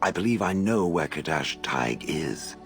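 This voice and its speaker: A massive ancient golem of stone, very low in pitch. It talks in a dry, haughty and formal way, deliberately, with faint game music underneath. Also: male voice, expressive. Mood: pensive, formal. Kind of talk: video game character acting.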